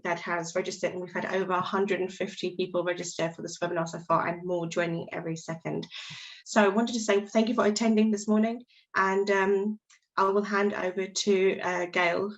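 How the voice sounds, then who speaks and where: Croaky woman hosting, dull audio. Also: medium pitch. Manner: friendly, halting, self-correcting. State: croaky voice from a cold. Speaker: webinar host. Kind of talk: webinar welcome.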